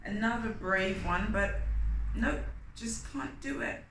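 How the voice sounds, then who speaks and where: Slow-paced woman reading to children. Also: medium pitch. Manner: expressive, addresses young listeners with questions. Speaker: storytime host reading a picture book aloud. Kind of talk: children's read-aloud with asides to the listeners. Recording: roomy echo, faint background noise.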